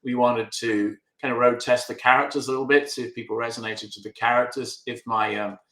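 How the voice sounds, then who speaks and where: English-accented male director, halting and wry, on a video call from a roomy, echoey space. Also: mid-range voice, little pitch movement, a bit bright. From England. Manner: hesitant, many restarts and fillers. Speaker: filmmaker guest. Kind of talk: remote interview answers.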